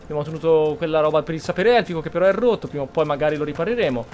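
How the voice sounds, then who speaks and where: A man's voice: on the high side, racing, darkish tone, faint music behind. A man from Italy giving casual gameplay commentary as a video creator. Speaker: gaming YouTuber.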